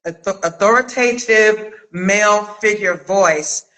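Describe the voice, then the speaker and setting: Casting: middle-aged woman, chat host, bright forward voice, slow and halting delivery. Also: medium pitch, poor audio. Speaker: interview host and director. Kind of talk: casual live chat interview.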